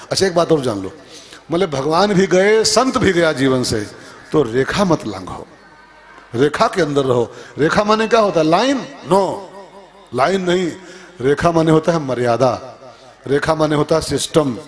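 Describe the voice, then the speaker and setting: High-pitched male preacher. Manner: emphatic, repeats phrases, asks rhetorical questions. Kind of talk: musical scripture discourse with moral teaching.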